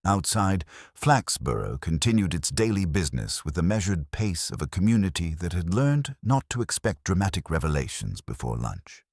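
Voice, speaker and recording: A man narrating a scripted mystery story in a measured, formal, literary manner. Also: very deep voice, a little mellow, slightly rough, no room sound, clean studio sound. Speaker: audiobook narrator.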